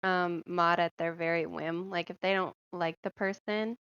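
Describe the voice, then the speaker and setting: A woman's voice: fairly low pitch, steady tone, normal speed, a bit bright, clean tone, highs cut off. A woman in a casual voice chat, speaking in a pointed, questioning manner.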